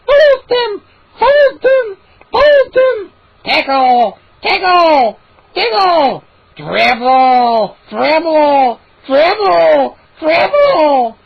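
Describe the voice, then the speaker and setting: A woman voicing a mob of gleeful, mischievous little gnomes who chant in shrill, excited voices. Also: slow, gravelly, dull audio, heavily acted.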